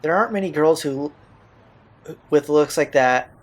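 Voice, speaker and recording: A man's voice: a little high, measured pace. A man reading visual novel text aloud in an informal, slangy way, as host of a playthrough. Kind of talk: reading game text aloud with casual asides. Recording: game music behind the voice. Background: visual novel soundtrack.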